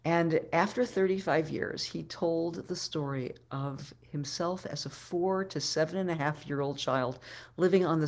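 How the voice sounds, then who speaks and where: A woman in her sixties tells a story as a keynote speaker. Her voice is low and she takes her time, pausing and repeating phrases for dramatic weight. She is talking into a webcam mic in a room at home. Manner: deliberate, dramatic storyteller with pauses and repetition. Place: living room at home, indoors. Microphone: webcam video call microphone.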